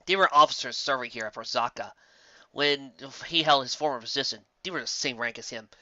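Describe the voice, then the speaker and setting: A man's voice: mid-range voice, brisk, bright, forceful tone, no room sound, lo-fi sound. A man reading a fantasy story aloud as a dramatic, theatrical and emphatic narrator. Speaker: narrator of fan fiction audiobook.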